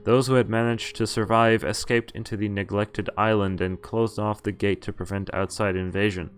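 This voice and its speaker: A man's voice: on the low side, level tone, moderate pace, smooth. A man streaming a visual novel, reading its text aloud and adding casual, wry asides, often stumbling over names and correcting himself.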